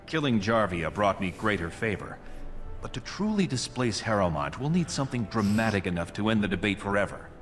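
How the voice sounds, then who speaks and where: An actor playing a scheming, courtly dwarf prince in a video game, speaking formally and persuasively in measured phrases. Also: male voice, on the low side, very animated, soft background music. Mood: calculating. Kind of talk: video game dialogue acting.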